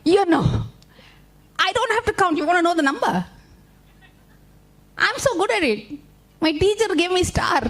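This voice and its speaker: A woman's voice: very high-pitched, lively intonation, normal speed, long pauses, pitched above the performer's own voice. A woman voicing a boastful, eager male duck with a chirpy, proud voice in a told story. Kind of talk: storytelling to a child.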